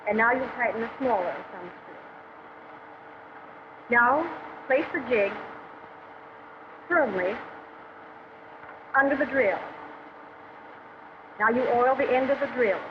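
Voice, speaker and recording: An actress playing an eager, attentive young factory trainee, speaking carefully and deliberately in a 1940s training film. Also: female voice, fairly high pitch, lively intonation. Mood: careful, reciting. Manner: careful, deliberate step-by-step recitation. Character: eager, attentive young woman learning factory machine work. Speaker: trainee drill press operator. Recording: noisy, muffled 1940s film soundtrack.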